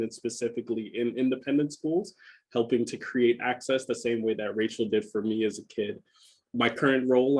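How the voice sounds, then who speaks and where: A man in his thirties with a middle-pitched voice speaks as a podcast guest. He tells his personal story in a reflective way, now and then doubling back on his words. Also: low-quality recording. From Jamaica, moved to Philadelphia as a child. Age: 30s. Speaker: podcast guest, school enrollment director.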